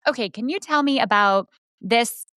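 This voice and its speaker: A woman podcast host coaches and encourages her guest in conversation. She affirms often and asks reflective questions. Her voice is smooth and clear, recorded dry and close. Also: on the high side, normal speed, fairly bright tone, no echo. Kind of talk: conversational interview.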